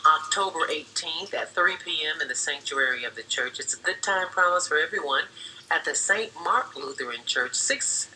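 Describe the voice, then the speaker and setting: A woman's voice: sits low, animated, moderate pace, bright, forceful tone, a little hiss or hum, poor audio. An American woman hosting a gospel music radio show as an announcer, with upbeat, cheerful DJ patter. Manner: cheerful, greeting listeners and reading dedications. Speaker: radio announcer and guest host. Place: radio studio. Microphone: broadcast studio microphone. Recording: radio aircheck with music underneath.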